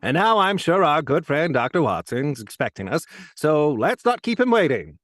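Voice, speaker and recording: A man's voice: on the high side, sing-song, normal speed, a bit bright, dry recording, faint music behind. A man playing an earnest 1940s radio announcer with polished, emphatic salesmanship. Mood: eager. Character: smooth-selling, earnest 1940s radio announcer pitching wine. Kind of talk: 1940s radio acting, sponsor read.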